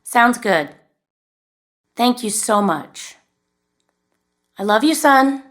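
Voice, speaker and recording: A woman playing a supportive, concerned mother in an audio drama, speaking gently and carefully. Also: on the high side, expressive, extremely slow, exaggerated voice. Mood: polite, affectionate. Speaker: actress in a scripted podcast drama. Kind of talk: naturalistic audio drama acting.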